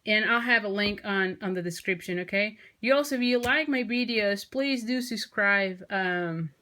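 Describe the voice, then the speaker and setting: A woman's voice: mid-range voice, normal speed, sharp tone. A woman from Mexico with a Mexican Spanish accent, probably in her thirties, hosting a casual crafting tutorial in a chatty, enthusiastic way with many ums and restarts. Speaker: crafting video host.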